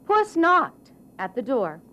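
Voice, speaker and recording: A woman narrating a children's story in a deliberate, expressive way with dramatic phrasing. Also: high-pitched, noisy background.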